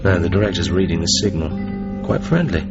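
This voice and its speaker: An actor playing a suave, wry British secret agent with an English accent in a radio drama. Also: male voice, low-pitched, expressive, normal speed. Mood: hushed, intrigued. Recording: muffled radio drama with music.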